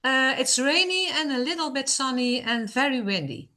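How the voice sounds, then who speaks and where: A woman with a high, bright voice. She chats casually, halting now and then to find words in a language that is not her first. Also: expressive. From Netherlands. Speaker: session participant. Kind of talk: casual chat.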